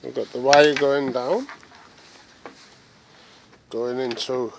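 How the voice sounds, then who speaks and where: A middle-aged man chatting casually and haltingly as he demonstrates gear, thinking aloud. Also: mid-range voice, steady tone, vocal fry. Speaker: hobbyist presenter demonstrating radio gear. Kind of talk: casual hands-on demonstration chat. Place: small room at home and back garden. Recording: noisy amateur camera audio.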